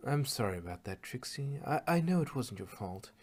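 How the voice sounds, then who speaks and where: A man's voice: medium pitch, sing-song, normal speed, darkish tone, breathy edge. Man putting on a fussy, genteel lady pony. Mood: sympathetic. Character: refined, fretful female cartoon unicorn dressmaker.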